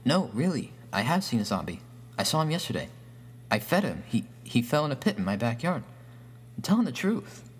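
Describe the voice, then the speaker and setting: A man voicing a lonely, clever young schoolboy, earnest and halting, in a narrated horror story. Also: medium pitch, sing-song, slightly rough, voice pushed up.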